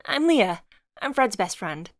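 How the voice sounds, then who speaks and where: A woman voicing a chatty, curious young woman, pitched up. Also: sing-song, measured pace, no room sound. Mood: cheerful. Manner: chatty, upbeat, probing. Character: friendly, curious, forthright young woman, supportive best friend.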